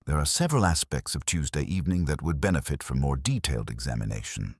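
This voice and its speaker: A man reading as a deep-voiced, understated police inspector. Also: big pitch swings, fast, breathy edge, no room sound, studio quality, exaggerated voice. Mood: calm, probing. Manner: conversational, formal, understated. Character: shrewd, understated male English police inspector. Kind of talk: mystery audiobook dialogue.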